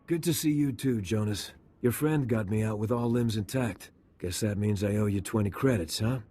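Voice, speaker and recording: An actor playing a wry, weary undercover intelligence agent in a video game, with a rough, husky voice. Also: male voice, on the low side, normal speed, warm tone, lightly acted. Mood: friendly, teasing. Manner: wry, colloquial.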